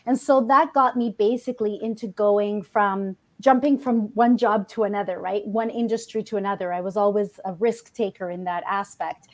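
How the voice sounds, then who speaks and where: A woman podcast guest from a Colombian family, telling her personal story conversationally in an animated way. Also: medium pitch, moderate pace, hardly any pauses. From Colombian household.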